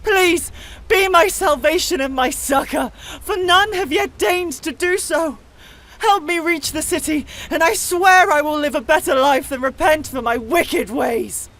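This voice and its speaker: A woman's voice: high-pitched, a little slow, a bit bright, voice pushed up. A woman voicing a desperate, broken refugee woman who cries out and pleads for salvation in a fantasy game story. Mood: desperate pleading. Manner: pleading, crying out.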